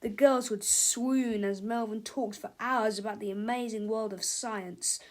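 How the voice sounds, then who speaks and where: Woman reading a kids book out loud, voice a bit on the high side. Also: steady tone, unhurried. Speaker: read-aloud narrator. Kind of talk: scripted children's book narration.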